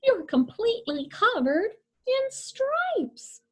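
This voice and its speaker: A woman's voice: very high voice, very animated, slow, voice pushed up, exaggerated voice. A woman voicing an alarmed, bossy mother in a storybook, exclaiming and giving orders as she frets over her daughter. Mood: shocked. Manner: exclaiming, commanding.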